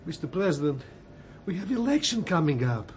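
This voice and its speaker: An actor playing a grave, deadpan Soviet ambassador with a Russian accent and clipped phrasing in a radio drama. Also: male voice, fairly high pitch, fairly quick, a little hiss or hum. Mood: deadpan, rueful. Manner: clipped, drops articles, deadpan.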